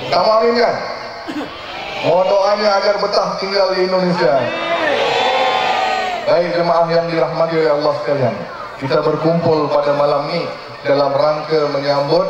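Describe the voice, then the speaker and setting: A young Malaysian man preaching live in a playful, teasing way, often putting questions to his listeners. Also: fairly high pitch. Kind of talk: live sermon with jokes and audience call-and-response. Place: live religious gathering at night, over loudspeakers with a crowd. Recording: echoey mosque PA, muffled, crowd noise.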